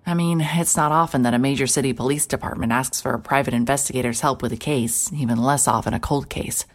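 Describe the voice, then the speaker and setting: A woman playing a hard-hearted, sarcastic private investigator with a deadpan delivery in a mystery audio drama. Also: rumbling low, fast talker, no echo. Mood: wry, brooding. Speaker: lead actor in an audio drama. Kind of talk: cinematic audio drama acting, noir narration.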